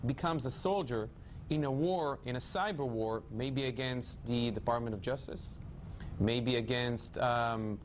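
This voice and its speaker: A man explaining as an expert interviewee, emphatic and halting. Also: medium pitch, dull audio, slight background noise. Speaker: interviewee, cybersecurity company CEO.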